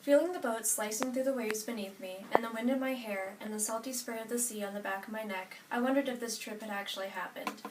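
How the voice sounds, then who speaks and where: A woman's voice: fairly high pitch, fast, few pauses. A young American woman, about eighteen, giving a prepared personal narrative speech from notes. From United States, Nebraska. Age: 18, young adult. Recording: echoey room, distant mic, faint noise.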